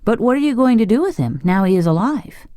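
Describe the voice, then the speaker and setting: A narrator voicing a mischievous, impudent young boy from a storybook in a lively manner. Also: mid-range voice, fast, no echo, studio quality, voice pushed up, close to an everyday voice. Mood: curious. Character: cheeky, mischievous young boy, high-voiced.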